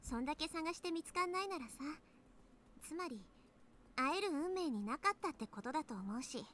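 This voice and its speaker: A woman voicing a lively, earnest teenage girl in a Japanese anime visual novel. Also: high-pitched, rapid-fire, slight background noise. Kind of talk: Japanese anime game voice acting.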